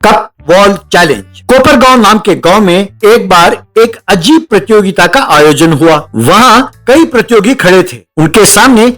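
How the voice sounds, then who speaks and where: Male narrator: comic story reading, high pitch for a man, faint music bed. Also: animated, measured pace, few pauses, a bit bright. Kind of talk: scripted comedy story narration.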